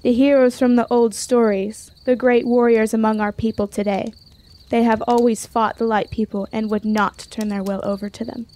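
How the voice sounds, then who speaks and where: An actress playing a proud, sharp-tongued young warrior maiden in a fantasy radio drama, speaking in formal, defiant phrases. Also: female voice, on the high side, flat delivery, normal speed, lightly acted. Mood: rousing, defiant. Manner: formal, archaic, declamatory phrasing.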